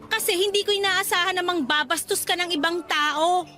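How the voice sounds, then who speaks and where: A woman's voice: very high voice, a little fast, pushed and bright, soft background music. A woman acting an emotive, principled young Filipina in a radio drama, testy but easily delighted. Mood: indignant.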